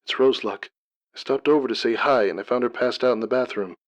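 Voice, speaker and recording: A man's voice: medium pitch, fairly quick, highs cut off, voice pushed up, close to an everyday voice. A man voicing an anxious female pony friend who speaks urgently in a dramatic story reading. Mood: worried.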